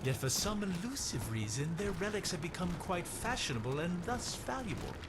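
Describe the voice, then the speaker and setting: Under loud rumbling game ambience, a haughty, greedy dealer in antiquities. His fairly high voice swoops theatrically through every line. He is pompous and openly disdainful, a video game snob with an eye for profit. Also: very animated, moderate pace, noisy background. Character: haughty, greedy male relic dealer scornful of local culture.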